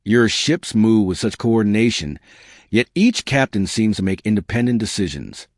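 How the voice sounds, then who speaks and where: A man's voice: fairly low pitch, expressive, moderate pace, no echo, studio quality, close to an everyday voice. Man voicing an earnest, worried alien captain. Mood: awed, worried. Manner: earnest, concerned. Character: anxious, amazed male alien ship captain.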